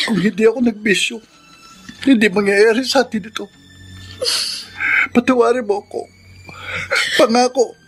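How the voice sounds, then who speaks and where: An actor playing an emotional, remorseful younger brother in a Filipino radio drama, earnest and pleading. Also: male voice, very high-pitched, fairly bright tone, breathy edge, full character voice. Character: remorseful, eager younger brother leaning on his sister.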